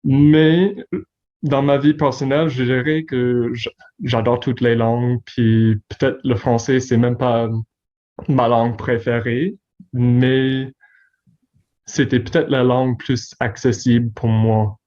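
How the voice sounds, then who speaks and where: A man's voice: mid-range voice, little pitch movement, slow pace, a little mellow, dull audio. A man from Newfoundland and Labrador, a newspaper director, speaking in a hesitant casual conversation and often repeating words. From Newfoundland and Labrador, Canada.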